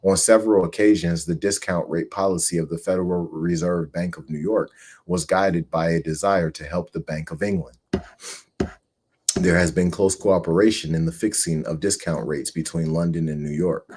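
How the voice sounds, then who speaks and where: A man in his forties from the United States, hosting a show where he reads a book aloud and comments on it with measured, earnest delivery. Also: low-pitched, fairly even intonation, a little mellow, husky. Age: 40s. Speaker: host.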